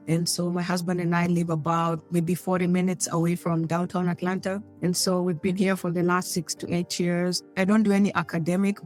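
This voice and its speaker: A woman from Kenya, speaking as a physician on a panel over a video call in a warm, steady way. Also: fairly low pitch. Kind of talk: casual professional talk.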